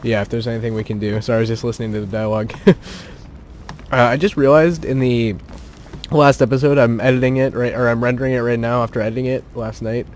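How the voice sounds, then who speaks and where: A chatty man narrating gameplay at home into a desk mic, thinking out loud and laughing often. His voice is mid-pitched, with faint noise in the background. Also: level tone, fast. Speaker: gaming channel host. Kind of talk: casual gameplay commentary. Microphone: home desk mic without pop filter.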